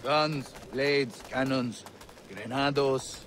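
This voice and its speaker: An actor playing a swaggering French arms smuggler in a video game, with a French accent, theatrical and boastful, jovial yet menacing. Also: male voice, mid-range voice, steady tone, extremely slow, fairly bright tone, slight background noise. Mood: boastful, sly.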